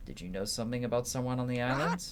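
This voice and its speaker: A man plays a cool investigator from a video game, asking blunt, probing questions. The voice is mid-pitched, with clear noise behind it. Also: animated, very fast, natural voice. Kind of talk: dramatic reading of game dialogue. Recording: clearly noisy background.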